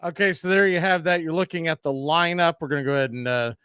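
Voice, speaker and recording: A man's voice: fairly high pitch, steady tone, fast talker, bright, forceful tone, smooth, dry recording. A man hosting a sports talk show, loose and upbeat, talking off the cuff into a close mic. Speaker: radio show host.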